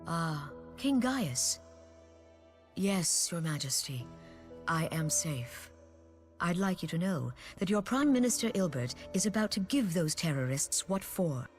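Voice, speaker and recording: A woman playing a dignified, mature head of government in a video game, with composed, formal and measured speech. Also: fairly low pitch, very animated. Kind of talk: video game cutscene acting. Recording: faint background music.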